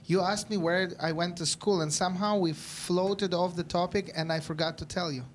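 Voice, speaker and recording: Man from Israel, 30s, wry, higher voice, handheld mic. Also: steady tone, moderate pace, slight background noise. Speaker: guest musician. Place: studio stage with blue backdrop.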